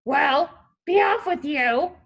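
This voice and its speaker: A woman voicing a mean, ugly storybook troll who bullies and roars in drawn-out shouts. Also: high voice, lively intonation, exaggerated voice. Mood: gruff dismissal. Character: mean, ugly, roaring troll, bullying and hungry.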